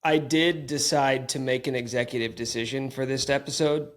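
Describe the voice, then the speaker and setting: A man's voice: mid-range voice, steady tone, moderate pace. A man hosting a casual sports podcast, talking in a playful, teasing, mock-bossy way. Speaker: podcast host.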